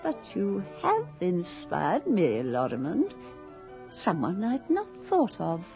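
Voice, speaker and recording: A woman's voice: fairly low pitch, huge pitch swings, slow pace, music in the background, heavily acted. A woman playing a bored, witty, imperious London society hostess in a period radio drama, with a languid, haughty drawl. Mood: wry, intrigued. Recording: dull vintage radio drama recording.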